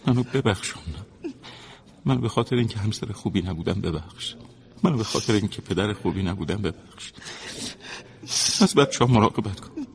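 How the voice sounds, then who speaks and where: An actor playing a devout, remorseful middle-aged husband condemned by a court, pleading and solemn in a drama. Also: male voice, sits low, fairly even intonation, creaky, pauses often. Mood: tender remorse. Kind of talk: dramatic acting.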